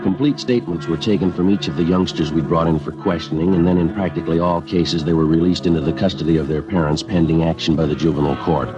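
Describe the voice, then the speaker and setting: An actor playing a calm, terse police detective who narrates his case in a clipped, deadpan radio drama style. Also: a bass voice, quick pace, few pauses. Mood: matter-of-fact. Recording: dull old radio recording, music underneath.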